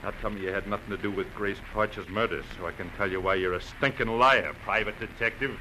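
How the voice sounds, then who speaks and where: Low, sneering American police bully. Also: male voice, steady tone, quick pace, bright, forceful tone. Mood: gloating, threatening. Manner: sneering, barking, slangy. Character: gruff, bullying male police lieutenant with a grudge. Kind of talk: hard-boiled 1940s radio drama acting. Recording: noisy vintage radio recording.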